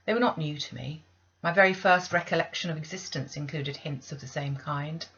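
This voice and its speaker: Low, husky woman reading a classic novel. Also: brisk, a bit bright, highs cut off. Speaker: audiobook reader. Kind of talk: scripted literary narration.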